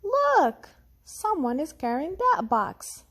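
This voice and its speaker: A woman's voice: extremely high pitch, sing-song, slow, noisy background, voice pushed up, exaggerated voice. A woman voicing a curious, eager little boy tiger in a children's storybook, with a wondering, childlike manner. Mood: curious, excited.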